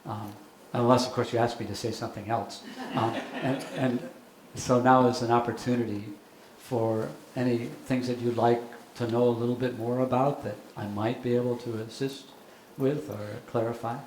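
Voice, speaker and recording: Older man, bishop, halting thoughtful lecture, roomy hall echo, faint noise. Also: medium pitch, level tone, darkish tone. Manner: thoughtful, hesitant with many fillers. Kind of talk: lecture and Q&A remarks. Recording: echoey hall with background noise.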